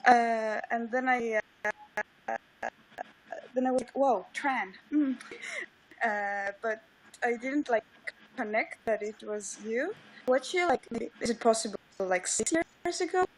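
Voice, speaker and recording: Halting woman with an Estonian accent. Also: fairly high pitch, slight background noise, rough recording. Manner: halting, many fillers and restarts in English. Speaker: livestream guest. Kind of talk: casual video call chat. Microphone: video call.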